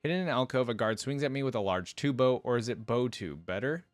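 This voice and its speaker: Man playing male birdlike battle dancer from a game story: cocky, distractible, flippant, mid-pitched. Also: animated, quick pace, dry recording, faint music behind, natural voice. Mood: excited, flippant. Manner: cocky, flippant, theatrical first-person storytelling.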